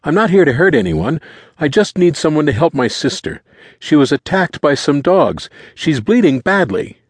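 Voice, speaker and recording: A man's voice: on the high side, very animated, normal speed, husky, dry recording, voice pushed up. A man voicing a frantic, terrified teenage boy who pleads urgently for help in a story. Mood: pleading.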